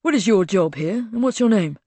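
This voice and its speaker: A woman's voice: mid-range voice, expressive, a little slow, darkish tone, dry recording. A woman voicing a courteous male director. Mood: firm. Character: polite middle-aged male institute director.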